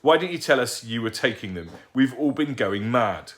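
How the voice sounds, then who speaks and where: A man's voice: low-pitched, brisk, fairly bright tone. A man voicing a strict, horrified mother who shrieks and scolds in an audiobook.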